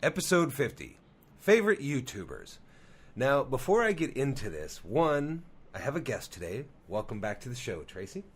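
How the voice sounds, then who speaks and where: A man's voice: medium pitch, wildly swooping pitch, normal speed, husky, soft background music. A man with a head cold hosting a podcast in a casual, conversational way. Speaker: podcast host. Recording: faint background noise.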